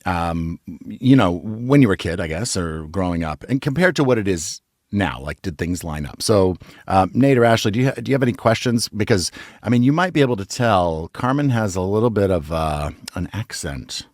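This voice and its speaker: A man's voice: low-pitched, animated, moderate pace, darkish tone. A man hosting a casual podcast, asking loose, rambling questions full of fillers. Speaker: podcast host. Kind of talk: casual chat.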